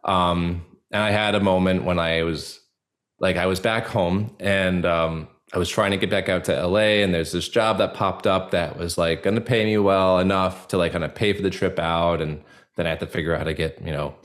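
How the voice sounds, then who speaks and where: A man with a fairly low voice talks as a podcast guest in an informal, halting style. He circles through his thoughts conversationally, leaning often on 'like' as he goes. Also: steady tone. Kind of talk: casual personal interview talk.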